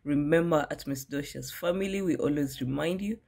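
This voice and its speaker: A woman's voice: low-pitched, brisk, gravelly. A young woman narrates her village cooking video, speaking directly to her viewers in a friendly way. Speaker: cooking vlogger. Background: loud background music.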